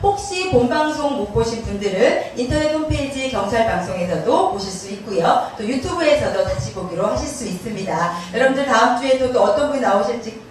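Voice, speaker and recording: A woman's voice: a little high, animated, brisk, few pauses. Woman hosting, very echoey, distant pickup. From Gangwon Province, South Korea. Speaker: host of a lecture talk show. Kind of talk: live broadcast hosting. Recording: very echoey distant room, faint noise.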